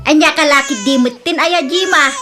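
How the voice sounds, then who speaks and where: A woman's voice: high voice, moderate pace, over loud music, lightly acted. A woman playing a greedy, gambling older mother in a comedy radio drama, blunt, nagging and insistent.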